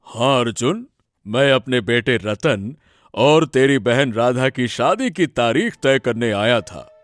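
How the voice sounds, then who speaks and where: An actor voicing a stern, proud village headman in a cartoon moral story, speaking in an admonishing, self-important way. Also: mid-range voice. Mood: stern questioning.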